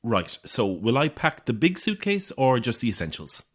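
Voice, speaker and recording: An anxious young Irish woman, down on her luck, in an audio horror drama. Her voice is husky and swings widely in pitch. She talks in an informal, hesitant, natural way. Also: big pitch swings, moderate pace, heavily acted. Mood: wary. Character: anxious, broke young woman living on an unfinished estate.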